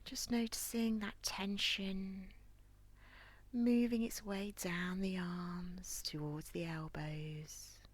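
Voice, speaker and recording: A woman leading a guided body scan meditation in a soft, near-whispered, breathy voice with a calm, gentle, soothing manner. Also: mid-range voice, takes it slowly. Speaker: guided relaxation instructor.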